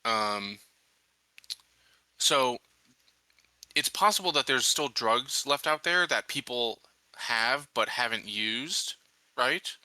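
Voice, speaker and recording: A man playing a tabletop roleplaying game, casually laying out plans with deliberate pauses between phrases. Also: mid-range voice, expressive, pushed and bright, dry recording.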